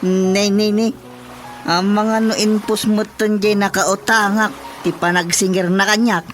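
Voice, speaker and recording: A man playing a flustered, indignant village councilor in an Ilocano radio comedy, excitable and exclamatory, with an Ilocano accent. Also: high-pitched, a little slow, soft background music.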